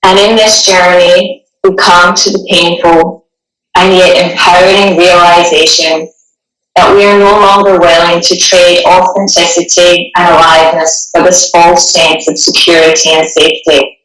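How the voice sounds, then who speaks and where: A woman guiding a meditation in a gentle, soothing, unhurried way, with long pauses between phrases. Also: on the low side, flat delivery. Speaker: meditation guide. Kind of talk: guided meditation, partly reading a passage. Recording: echoey room, distant microphone.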